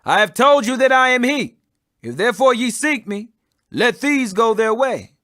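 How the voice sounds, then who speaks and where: Guy doing a resolute bible teacher facing accusers, high bright voice, firm n declamatory. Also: lively intonation, moderate pace, no echo, studio quality, exaggerated voice. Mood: firm, commanding.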